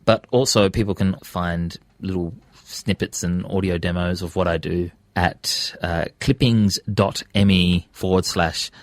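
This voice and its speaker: A man's voice: low-pitched, fairly even intonation, unhurried, no room sound. A man with an Australian accent talking casually as an interview guest, often hesitating and correcting himself as he works through his thoughts. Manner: hesitant, self-correcting, discursive. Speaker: interview guest, radio presenter and podcaster.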